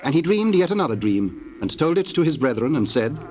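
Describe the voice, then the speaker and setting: A man's voice: fairly high pitch, big pitch swings, darkish tone, slight background noise, low-quality recording. Solemn male scripture narrator. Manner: solemn, measured.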